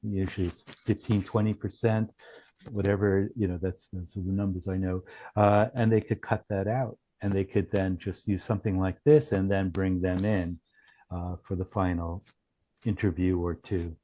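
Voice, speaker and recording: A man speaking as a company founder, explaining technical matters haltingly on a group call. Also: low-pitched, flat delivery, mellow, highs cut off. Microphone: online group call.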